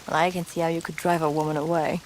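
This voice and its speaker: A woman's voice: sits low, flat delivery, fast, a little hiss or hum, natural voice, low-quality recording. An actress playing a witty, candid young woman, an adventurer's companion in a video game. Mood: teasing, tender.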